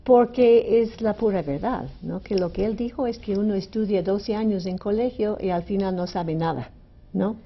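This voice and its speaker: A woman's voice: medium pitch, normal speed, lo-fi sound. A middle-aged woman from the United States, a native English speaker with an American accent, answering conversationally as a radio interview guest. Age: 50s or older. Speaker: university language department director, interview guest. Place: radio studio.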